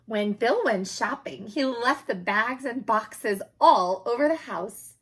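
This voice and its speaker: A woman reading a children's picture book aloud in an expressive, emphatic storytelling manner, with a slightly husky voice. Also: a little high, slow.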